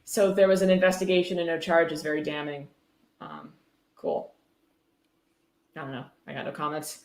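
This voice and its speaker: A woman's voice: low voice, moderate pace, darkish tone. A woman hosting a casual livestream, reading passages aloud and commenting in a sarcastic, irreverent way with free swearing. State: tipsy. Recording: roomy, echoey room sound.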